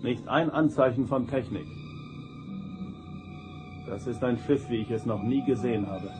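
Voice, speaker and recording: An actor playing a resolute, dutiful starship first officer in a radio drama, with a direct, military manner. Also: male voice, mid-range voice, measured pace, over music, lightly acted, low-quality recording. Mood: commanding.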